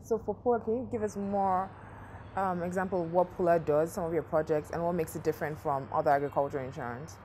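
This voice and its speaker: A woman hosting an interview program, asking the guest questions in a brisk, upbeat way. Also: fairly low pitch, fairly even intonation, a little mellow, a little hiss or hum.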